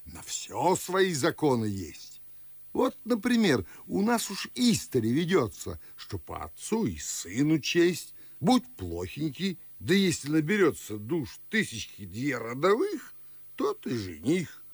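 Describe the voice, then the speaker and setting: Pompous old gentleman, husky and theatrical, very animated intonation, dry studio radio play. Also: on the high side, takes it slowly, no echo, full character voice. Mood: boastful. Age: older. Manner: declamatory, theatrical, old-fashioned. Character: pompous, garrulous old Moscow nobleman and father. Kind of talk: radio play acting in verse.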